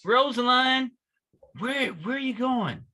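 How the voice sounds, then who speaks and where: An actor plays a lovesick young man in a stage play read-through, needy and anxious. His voice is very high and bright, swinging widely in pitch. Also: lively intonation, slow, heavily acted. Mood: bewildered. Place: online video call.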